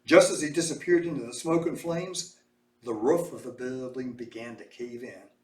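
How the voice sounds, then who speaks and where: A man reading a children's story aloud with animated, emphatic, dramatic delivery. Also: medium pitch, moderate pace. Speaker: storybook reader. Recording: echoey room, distant mic.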